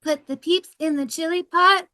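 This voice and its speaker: A woman's voice: very high-pitched, normal speed, pushed and bright, no echo, studio quality. A woman playing an unhinged, manic male professor in a comedy table read. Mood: manic, singsong.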